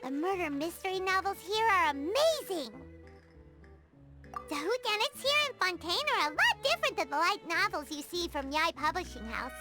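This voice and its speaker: A woman voicing a small, excitable, childlike fairy companion in a video game, with a squeaky voice and exclamatory way of talking. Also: moderate pace. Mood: excited. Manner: refers to self in third person, exclamatory. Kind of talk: animated video game voice acting. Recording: music behind the voice. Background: game music.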